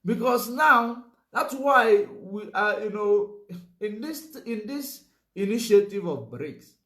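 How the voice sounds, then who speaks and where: Emphatic high-voiced man. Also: expressive, slow, pushed and bright, echoey room. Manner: emphatic, repeats points, many "you know" fillers. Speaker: commentator. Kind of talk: political commentary monologue.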